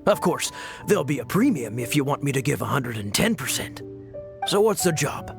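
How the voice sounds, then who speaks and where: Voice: mid-range voice, very animated, moderate pace, gravelly, over music. A performer playing a keen, cocky odd-job worker in a video game, with an eager, salesmanlike manner.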